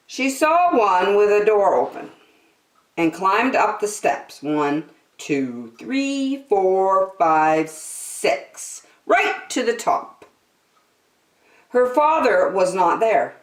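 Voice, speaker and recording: A woman hosting story time, reading a children's book aloud in an expressive, deliberate, sing-song way. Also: mid-range voice. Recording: echoey room.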